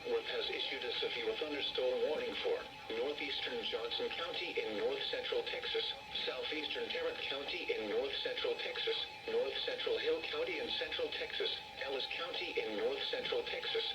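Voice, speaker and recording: A synthetic male text-to-speech voice reading an emergency weather warning bulletin in a formal, measured, impersonal manner over weather radio. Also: mid-range voice. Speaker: automated weather radio announcer. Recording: echoey weather radio broadcast.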